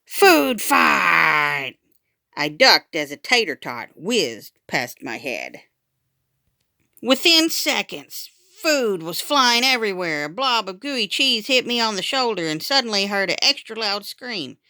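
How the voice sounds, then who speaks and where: Lively, bright woman reading a children's story. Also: medium pitch, a little slow, no echo, studio quality. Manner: expressive, acts out dialogue. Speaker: read-aloud storyteller. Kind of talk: animated children's story read-aloud.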